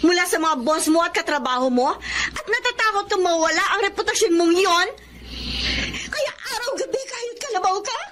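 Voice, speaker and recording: Very high, earnest young Filipino woman. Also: animated, a little fast, a bit bright, a little hiss or hum. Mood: hurt, accusing. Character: young devoted girlfriend, hurt yet tender and earnest. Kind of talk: Filipino radio drama acting.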